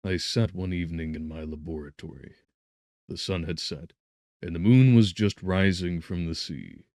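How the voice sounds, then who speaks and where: Male audiobook narrator, very deep pitch, dry studio-like sound, solemn theatrical Gothic delivery, dramatic swells and hushes. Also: moderate pace, dry recording. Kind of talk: dramatic scripted narration of Gothic horror fiction.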